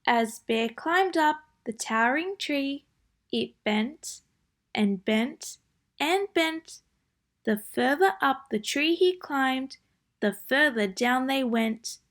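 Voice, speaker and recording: A high-pitched woman narrates a rhyming picture book for children. She takes her time over each phrase, with a deliberate sing-song lilt. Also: expressive, fairly bright tone. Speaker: children's story reader. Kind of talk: read-aloud storybook narration.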